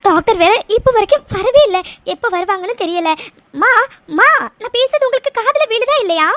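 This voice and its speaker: Anxious daughter type, played by a woman: high-pitched, fretful, wordy, dry audio-drama delivery. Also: lively intonation, brisk, a bit bright, no echo. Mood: worried. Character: anxious young woman fretting to her mother. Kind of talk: serial-style audio drama acting.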